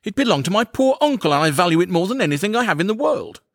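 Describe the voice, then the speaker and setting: A man acts a buffoonish English friend in a comic audiobook. He is loud, coarse and theatrical, with a raised, very animated voice. The recording is dry. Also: high voice, fast, no room sound, voice pushed up, exaggerated voice. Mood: dismayed.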